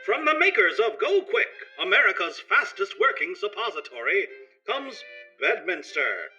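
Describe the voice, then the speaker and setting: A man's voice: high-pitched, very animated, moderate pace, pushed and bright, faint music behind, exaggerated voice. A man playing a grandiose announcer for the intro of a parody telenovela. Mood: grand. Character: overblown telenovela sponsor announcer.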